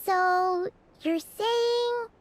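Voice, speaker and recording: A woman playing a tiny, impulsive fairy companion in a video game, with a squeaky, excitable, expressive delivery. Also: extremely slow, slight background noise, exaggerated voice. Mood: worried.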